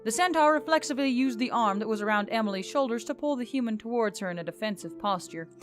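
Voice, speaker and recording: A woman's voice: a little high, animated, quick pace. A woman reading scripted narration of a fantasy story. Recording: background music under the voice. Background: music.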